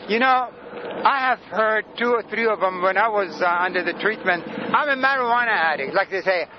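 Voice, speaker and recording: A man in his sixties from Sudan whose first languages are Greek and Arabic, talking in a rambling, hesitant way with many pauses and laughs, tired from lack of sleep, interviewed outdoors. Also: high-pitched, animated, muffled recording, background noise. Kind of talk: casual street interview.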